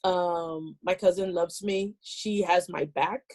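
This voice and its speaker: A woman from Haiti telling a personal story on a video call, with many pauses and fillers. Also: on the low side, fairly even intonation, a little mellow. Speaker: meeting participant.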